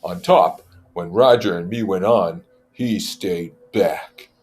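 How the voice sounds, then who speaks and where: A man's voice: low-pitched, takes it slowly, a little mellow. A man voicing an aggressive, contemptuous schoolboy hunt leader in a storybook reading, commanding and accusing. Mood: scornful. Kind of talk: storybook character voice.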